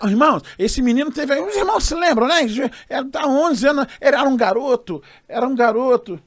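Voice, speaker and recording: A Brazilian man, a gospel singer guest, telling an animated story and acting out the voices of others. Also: extremely high pitch, no room sound, clean studio sound. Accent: Brazilian Portuguese. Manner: expressive, acts out quoted voices.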